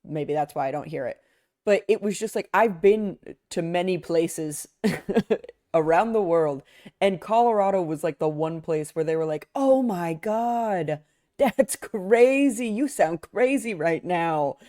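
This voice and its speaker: A woman's voice: fairly low pitch, lively intonation, measured pace, warm tone. A young woman from Chicago telling a story in a casual podcast chat, often laughing, swearing and acting out what people said. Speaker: podcast co-host.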